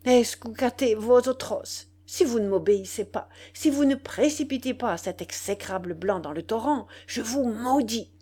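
A woman's voice: mid-range voice, big pitch swings, a little slow, darkish tone. A woman voicing a vengeful, gloating male dwarf sorcerer in an audiobook, with theatrical, commanding emphasis. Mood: furious, cursing. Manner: theatrical, emphatic, cursing with Spanish words mixed in.

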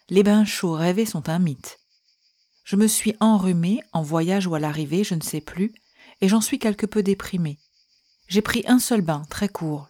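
A woman reading historical letters aloud in a measured, gentle way. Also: fairly low pitch. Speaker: reader.

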